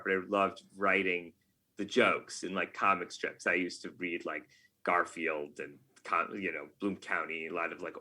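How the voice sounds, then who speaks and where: Bright, forceful man, lowish voice, casual interview. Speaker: podcast guest, game writer. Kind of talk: casual interview talk, reflective anecdotes.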